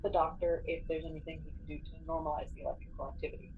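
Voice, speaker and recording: A woman's voice: low voice, steady tone, racing, phone-quality sound. A woman chatting casually as a player in a tabletop role-playing game. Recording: clearly noisy recording.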